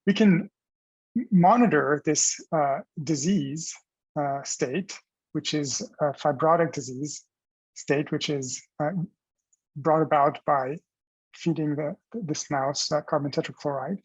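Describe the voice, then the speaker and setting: A man narrates a scientific presentation in a husky, somewhat higher-pitched voice. He explains things step by step with halting pauses mid-phrase. The sound is very dry, with almost no room echo. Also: steady tone.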